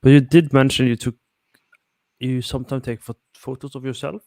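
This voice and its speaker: Guy hosting, low gravelly voice, dry sound, kinda halting with lots of uh. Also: steady tone, darkish tone, no echo. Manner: halting, searching for words, "uh". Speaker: host. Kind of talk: interviewing and explaining to viewers.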